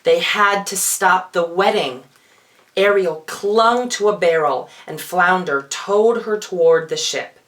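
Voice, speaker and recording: A man performing as a drag queen, reading a children's storybook in a theatrical, expressive way with playful asides. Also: sits high, slow.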